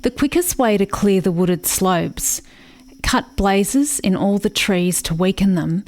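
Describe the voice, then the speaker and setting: An actress in a radio play playing a grieving, watchful country sister, narrating in a reflective, measured way. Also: female voice, medium pitch, darkish tone, slight background noise. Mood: wistful.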